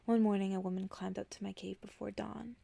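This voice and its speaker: A woman reading a bedtime story in ASMR style, softly and breathily, often dropping into a whisper, slow and careful. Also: medium pitch, flat delivery, gravelly, husky, dry recording. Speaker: ASMR narrator.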